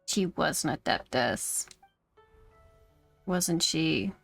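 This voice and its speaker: A woman's voice: low voice, drawn-out words, creaky. A woman game streamer giving casual live reaction commentary. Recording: game music faintly behind.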